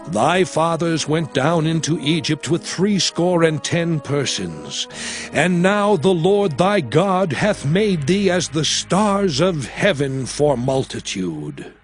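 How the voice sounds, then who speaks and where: A man playing a solemn prophet who exhorts his people in a measured, declamatory delivery for a dramatized scripture recording. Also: on the high side, sing-song, soft background music.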